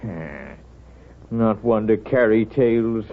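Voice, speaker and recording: An actor playing a kindly, wry American small-town doctor in a 1930s radio drama, folksy, hesitant and unhurried. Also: male voice, lowish voice. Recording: noisy, tinny 1930s radio recording.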